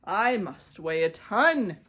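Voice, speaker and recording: A woman voicing a huge, kindly male storybook elephant with slow, emphatic, earnest speech. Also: mid-range voice, very animated, fairly bright tone, husky, heavily acted. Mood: earnest, resolved. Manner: slow, emphatic, drawn-out delivery. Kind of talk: children's storybook character voice.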